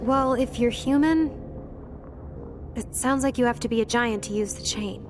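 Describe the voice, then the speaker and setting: Woman as an assured, high-voiced rebel leader. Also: normal speed, background noise, natural voice. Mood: explaining. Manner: assured, explanatory. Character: composed woman resistance leader briefing young heroes. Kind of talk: animated video game voice acting. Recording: faint music behind the voice.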